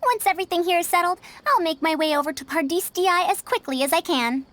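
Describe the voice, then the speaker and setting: An actress playing a self-assured, scholarly teacher in a video game, speaking in a precise, instructive way. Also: female voice, very high voice, fast, fairly bright tone, dry recording. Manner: instructive, precise, formal wording. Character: scholarly senior female teacher, self-assured, encouraging mentor. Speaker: game character, mentor. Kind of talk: scripted video game dialogue.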